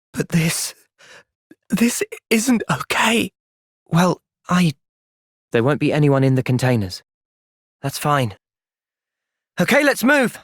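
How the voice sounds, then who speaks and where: A young man playing an earnest, thoughtful young soldier hero in a fantasy video game's cutscenes, with expressive delivery. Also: high voice, no room sound, clean studio sound, exaggerated voice. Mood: urgent, distressed, then resolute. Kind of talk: video game cutscene acting.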